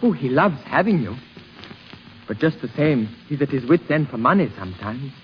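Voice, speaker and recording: An actor playing a sensitive young grandson in a 1950s radio drama, speaking earnestly and hesitantly, full of emotion. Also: male voice, medium pitch, animated, moderate pace, close to an everyday voice. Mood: hesitant, startled. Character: sensitive, yearning young musician grandson, shy but passionate. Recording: muffled, hissy 1950s radio recording.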